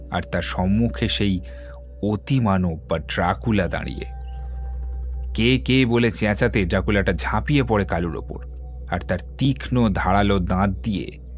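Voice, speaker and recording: Low-voiced, composed detective played by a man. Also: slight background noise. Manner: measured, explanatory, assured. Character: composed, methodical male Bengali detective explaining a case. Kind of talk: dramatised audio detective story. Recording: background music under the voice.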